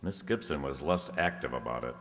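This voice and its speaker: Man acting a low-voiced wisecracking private eye, echoey hall. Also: lively intonation, brisk, a bit bright, full character voice. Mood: wry. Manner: wry, hardboiled patter. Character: wisecracking male private detective, dry, streetwise, soft-hearted. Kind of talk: old-time radio detective drama acting and narration. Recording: echoey hall, long reverb.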